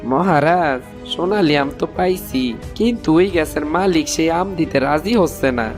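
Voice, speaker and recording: A man's voice: on the high side, moderate pace, soft background music, lightly acted. A man voicing an obedient army commander to a king in a Bangla cartoon. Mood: respectful. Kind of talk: Bangla cartoon fairy-tale voice acting.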